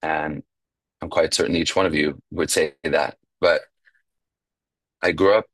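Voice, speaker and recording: A young man with an extremely low, deep voice shares his life story at a recovery meeting. He grew up speaking Yiddish in New York and talks in a reflective, halting way. The sound is dry and close. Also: steady tone, fairly bright tone, no room sound. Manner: reflective, halting, with fillers and restarts.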